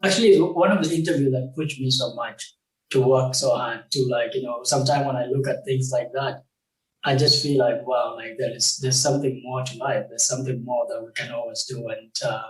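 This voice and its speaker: A young man from South Sudan, a game company founder, talking as an interview guest in a halting way, with many fillers and restarts. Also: mid-range voice, steady tone, roomy sound, far from the mic, low-quality recording. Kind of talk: casual interview conversation.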